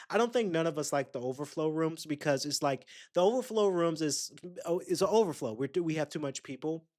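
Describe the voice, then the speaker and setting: A young man, hosting a podcast and telling a rambling, chatty story straight to his listeners. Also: fairly high pitch. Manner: rambling, chatty, addresses listeners directly with asides. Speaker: podcast host. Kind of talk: casual solo storytelling.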